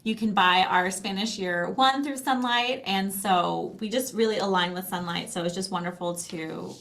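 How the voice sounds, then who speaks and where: A woman's voice: medium pitch, normal speed, fairly bright tone. A woman with a mid-range voice talks as a guest in an interview. She explains in a chatty, conversational way, slipping into little quotes and checking in with tag questions. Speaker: interview guest, company founder.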